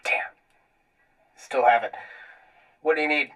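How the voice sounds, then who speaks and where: A man playing a gruff, sarcastic fixer who haggles and needles in an improvised tabletop roleplaying game. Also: mid-range voice, fairly even intonation, a little slow, bright, forceful tone, recorded from a distance. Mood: curt. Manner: curt, sarcastic.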